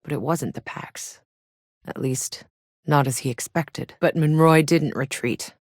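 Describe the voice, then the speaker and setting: A woman's voice: low-pitched, a little slow, dry recording, clean studio sound. An actress playing a tough, sardonic female soldier and explorer in a sci-fi video game, blunt and matter-of-fact. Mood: grave, reflective. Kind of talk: scripted video game character voice lines.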